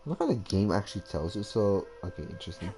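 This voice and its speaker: Low, mellow male gamer. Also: big pitch swings, fast, faint music behind. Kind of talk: casual gameplay commentary.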